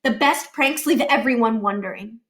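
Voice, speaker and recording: A woman's voice: on the high side, moderate pace, fairly bright tone, soft background music, close to an everyday voice. A woman voicing an earnest, self-assured schoolboy prankster who lectures in a storybook read-aloud. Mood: lecturing, confident. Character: earnest, know-it-all schoolboy master prankster.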